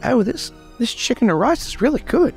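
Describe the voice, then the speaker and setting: Creaky-voiced man in casual game talk. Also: extremely high pitch, very animated, a little fast, a little mellow, soft background music. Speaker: tabletop role-playing game player. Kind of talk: casual table talk and improvised storytelling.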